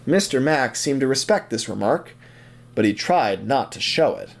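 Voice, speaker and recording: A man's voice: medium pitch, animated, moderate pace, vocal fry. A man narrating an audio drama as a scripted story, with theatrical, suspenseful phrasing.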